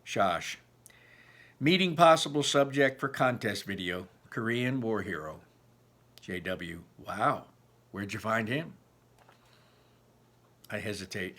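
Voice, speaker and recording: A man reading a novel aloud in an expressive, emphatic, informal way, like a teacher, with personal asides. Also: on the low side, slow, pauses often.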